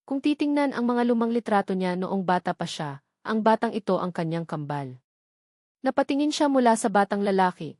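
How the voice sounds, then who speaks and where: A woman narrating a scripted romantic drama in a solemn, measured way, pausing for suspense. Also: mid-range voice, a little mellow, no echo, studio quality. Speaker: story narrator.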